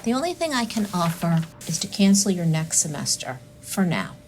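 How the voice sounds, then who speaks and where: An actress playing a stern, unsympathetic academic adviser in an audio drama, firm and official in manner. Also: female voice, on the low side, sing-song, normal speed, slight background noise. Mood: stern, admonishing.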